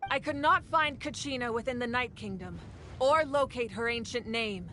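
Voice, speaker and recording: Game role: commanding, formal female leader, fairly high voice, faint noise behind. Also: normal speed, sharp tone. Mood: grave. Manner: formal, authoritative. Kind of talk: English video game voice acting.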